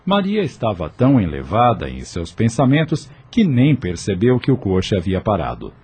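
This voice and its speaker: A man's voice: fairly low pitch, wildly swooping pitch, normal speed, background noise. A man delivering scripted dramatic narration as the narrator of a radio drama. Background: music.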